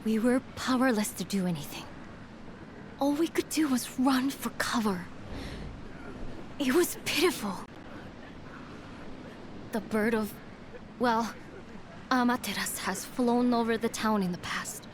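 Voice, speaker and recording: A woman's voice: a little high, a little slow, pauses often, noisy background, lightly acted. An actress playing an earnest, distressed townswoman in a fantasy video game. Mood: sorrowful. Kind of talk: video game cutscene acting.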